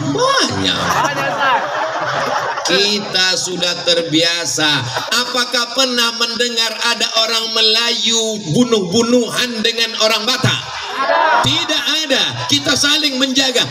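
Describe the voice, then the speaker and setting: A high-pitched male preacher rouses a gathering, calling out questions for the crowd to answer. He is captured from a distance in an echoey space. A crowd and music are heard clearly behind him. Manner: rousing, calls out questions for crowd responses. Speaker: Islamic preacher. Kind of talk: sermon to a crowd. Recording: echoey live recording from far off with crowd and music.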